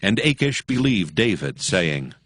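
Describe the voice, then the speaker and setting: A man's voice: deep voice, big pitch swings, unhurried, a bit bright, a little hiss or hum. A man narrating scripture from a script in a solemn, formal storytelling manner.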